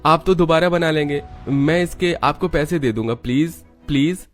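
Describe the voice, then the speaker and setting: A man's voice: on the high side, moderate pace, soft background music, voice pushed up, close to an everyday voice. A man voicing a smitten, polite young man from a wealthy family in a cartoon, with an eager manner. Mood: admiring, pleading.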